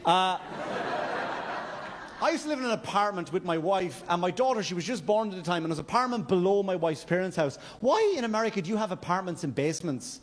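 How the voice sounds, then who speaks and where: An Irish man doing stand-up comedy storytelling, with a halting, stammering, repetitive delivery. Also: high-pitched, expressive. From Wexford, Ireland. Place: comedy stage before a live audience. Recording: live club stage, noisy laughing crowd. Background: audience laughter and crowd noise.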